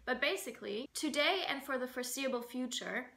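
A woman in her twenties giving a prepared keynote in a measured, formal, articulate way. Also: high-pitched, animated, gravelly, pushed and bright. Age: 20s. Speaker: fashion designer giving a keynote. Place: indoors, plain room at home.

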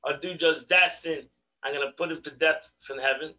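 Animated man teaching over a video call. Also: on the high side, normal speed, low-quality recording. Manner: animated, repeats words when stressing a point. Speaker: rabbi teaching a daily class. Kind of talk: online Torah class. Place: home study with bookshelves. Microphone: video call webcam.

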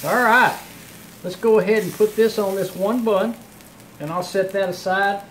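A folksy middle-aged man with a drawl walks viewers through a recipe in his home kitchen. His voice sits a touch high for a man, and a frying pan sizzles clearly beneath his chatty talk. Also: steady tone, moderate pace, a bit bright, slight background noise, low-quality recording. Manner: folksy, chatty, talks while cooking. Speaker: home cooking show host. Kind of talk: casual cooking demonstration.

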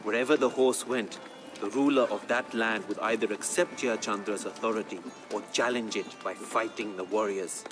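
An actor playing a court poet who solemnly tells his king's legend in a measured, grave, dramatic storytelling style for a game. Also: fairly low pitch, fairly even intonation, close to an everyday voice. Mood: reverent storytelling. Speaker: narrator. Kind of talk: dramatic scripted storytelling narration. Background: background music.

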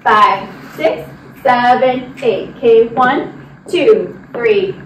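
A woman's voice: a little high, drawn-out words, dull audio. A young woman giving step-by-step line dance instruction, counting out the moves as she demonstrates them. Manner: directive, demonstrating while moving. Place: large indoor dance studio with wooden floor. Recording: roomy, distant mic with noise.

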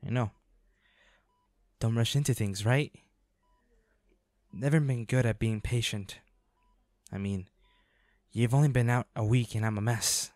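A man's voice: mid-range voice, steady tone, unhurried, long pauses, darkish tone, no room sound, lightly acted. A man acting a brooding, protective monster hunter, close and dry. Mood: tender, anxious. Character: devoted boyfriend, weary reluctant monster hunter, protective and menacing. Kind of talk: dramatic audio roleplay.

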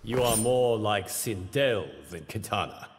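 A man playing a stern, righteous warrior monk in a fighting game, blunt and measured. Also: lowish voice, natural voice. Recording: long hall reverb, loud noise behind.

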